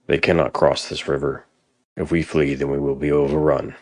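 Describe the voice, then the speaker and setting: A man's voice: rumbling low, level tone, moderate pace, darkish tone. A man playing a grave, steadfast Norse warrior leader in an audio drama, speaking solemnly and resolutely.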